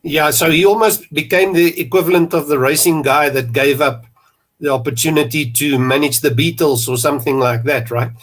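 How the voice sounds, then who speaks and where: Chatty South African man hosting, slightly high voice. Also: fairly even intonation, moderate pace. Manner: rambling, lots of fillers and restarts. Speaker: podcast host. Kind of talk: conversational interview.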